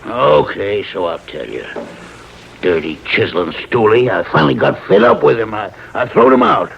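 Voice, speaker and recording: A cornered American swindler with a heavily gravelled voice and slangy, stammering bluster. His pitch lurches up and down as he argues his way through an old radio crime drama. The recording is muffled, with faint noise. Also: big pitch swings, normal speed, heavily acted. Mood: surly, defensive. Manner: rough slangy speech, stammering when pressed. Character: crooked, blustering male swindler, cornered and shifty.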